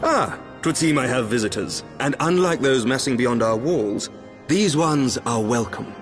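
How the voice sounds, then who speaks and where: An actor playing a noble, gracious lord commander in a fantasy game, speaking courtly archaic English with deliberate authority. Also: male voice, mid-range voice, expressive, faint music behind, close to an everyday voice. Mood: welcoming.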